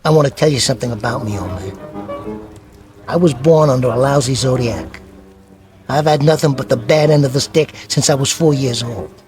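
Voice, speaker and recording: A man's voice: medium pitch, normal speed, over music, natural voice. A man acting a bitter, greedy small-time crook in a radio thriller, with pushy, impatient tough talk. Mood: bitter, demanding.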